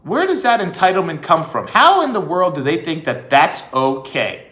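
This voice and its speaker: A man giving an emphatic opinion monologue as a vlog host, with rhetorical questions and deliberate pauses. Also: fairly high pitch, very animated, fairly bright tone. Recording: echoey room.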